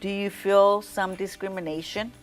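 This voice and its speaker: A woman's voice: mid-range voice, steady tone, normal speed, over music. A woman student interviewing a classmate, politely asking questions and restarting them midway.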